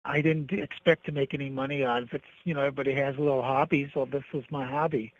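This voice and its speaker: A man's voice: medium pitch, steady tone, fast. A man calling in as a guest, talking in a plainspoken, matter-of-fact way. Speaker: caller, guest photographer. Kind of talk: casual interview talk. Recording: dull lo-fi phone call-in.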